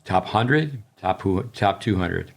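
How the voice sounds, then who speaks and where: Middle aged tennis coach guy, really deep voice, rambly casual podcast chat. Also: lively intonation, takes it slowly, gravelly.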